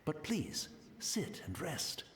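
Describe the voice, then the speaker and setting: A man playing a humble, courteous tea master in a video game, speaking formally and deferentially, with quiet resignation. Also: fairly high pitch, sing-song, takes it slowly, heavily acted. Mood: humble, hospitable. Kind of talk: video game character acting. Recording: echoey room, faint ambient noise.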